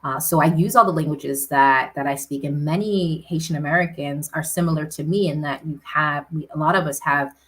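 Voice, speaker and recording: A woman's voice: sits low, fairly quick, few pauses, fairly bright tone. A woman city councilor answering interview questions earnestly, often tagging points with "right?".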